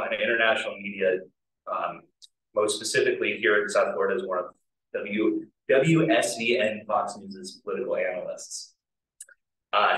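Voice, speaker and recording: A man's voice: lowish voice, a bit bright. A man moderating a panel event reads out formal introductions of the speakers, with small fumbles. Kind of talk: reading introductions. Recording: distant, echoey room mic.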